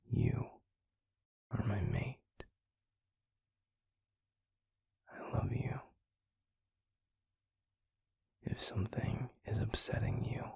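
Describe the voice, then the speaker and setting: A tender, protective male werewolf boyfriend, acted by a man, speaks low and very husky, close to a whisper. He is stubbornly caring, halting and intimate, as in a romantic roleplay audio, with a heavy gravelly rasp to his words. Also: dry recording, exaggerated voice. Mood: firm, protective. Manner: hushed, halting, intimate pleading.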